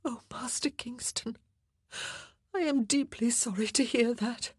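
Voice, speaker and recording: A fragile, faithful young queen facing death, her voice pitched high and breaking into trembling whispers, as a woman reader puts her on. The tone is husky. The recording is close and dry. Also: normal speed, darkish tone, no echo. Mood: pleading. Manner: trembling, breathy, often whispered pleading.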